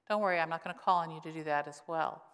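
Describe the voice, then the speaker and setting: A woman's voice: rapid-fire, a bit bright, clean tone. A woman in her sixties, a pastor, preaches a conversational sermon with wry humor from a church pulpit microphone. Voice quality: voice grown lower since illness, she says. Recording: long reverb of a church sanctuary.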